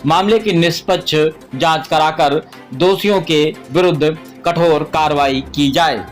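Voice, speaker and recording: Higher-voiced man reading formal news. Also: a little slow, soft background music. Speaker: news presenter. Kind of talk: scripted news narration.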